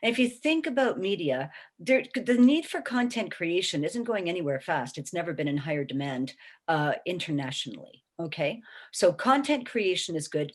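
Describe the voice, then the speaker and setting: A woman in her sixties speaking on a webinar panel, emphatic and encouraging, often checking in with "okay?". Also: fairly low pitch. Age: 60s. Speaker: panelist, small business owner. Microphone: video call.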